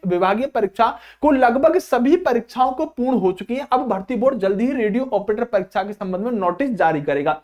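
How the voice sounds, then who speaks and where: A man speaking as an exam coach, addressing students informally and repeating phrases for emphasis. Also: very high-pitched. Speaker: exam coaching teacher and channel host.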